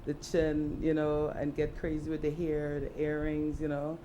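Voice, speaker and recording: A woman's voice: low-pitched, monotone, moderate pace, mellow, muffled recording, slight background noise. A woman from Trinidad, an artist and fashion designer, answering interview questions in a reflective way with many "you know" fillers.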